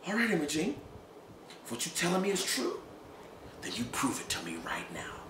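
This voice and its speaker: A man acts out a middle-aged rural father who is furious and heartbroken, barking out his words in rough dialect. His voice is raised, bright and forceful, and the reading is caught in an echoey room with a faint hiss. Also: a little high, lively intonation, normal speed, voice pushed up. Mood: angry. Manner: loud, harsh, shouting in rough dialect.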